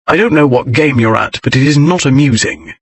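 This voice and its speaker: A man voicing a quick-tempered young nobleman who speaks in a curt, stern, commanding way with a husky tone. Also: mid-range voice, no echo.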